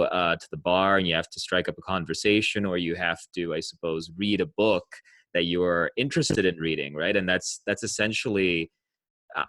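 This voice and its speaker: A man's voice: sits low, a bit bright, no echo. A man hosting an interview, musing aloud and building up long questions with some restarts. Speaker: podcast host.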